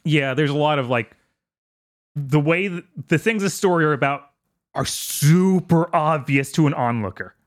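Dude on a gaming podcast, kinda high voice, rambly, laughs a lot. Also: male voice, moderate pace. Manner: easygoing, rambling, laughs often. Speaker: podcast co-host. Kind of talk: casual chat about video games.

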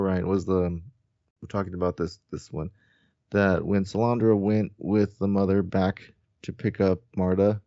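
A man's voice: sits low, mellow, dry recording, dull audio. A man co-hosting a podcast, recounting story details in a loose, informal way. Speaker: podcast co-host. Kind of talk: casual book recap discussion.

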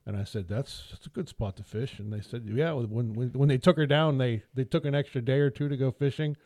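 A man's voice: medium pitch, fairly even intonation, brisk, dark tone, dry recording. A man co-hosting a casual podcast from a small studio, telling rambling, self-deprecating stories. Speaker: podcast co-host. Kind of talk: casual storytelling chat.

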